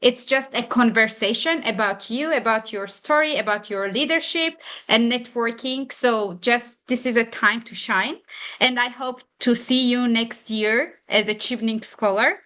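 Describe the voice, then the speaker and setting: A woman whose first language is not English, hosting an online mentorship session and encouraging applicants in halting grammar. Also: fairly high pitch, bright, forceful tone. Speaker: session moderator.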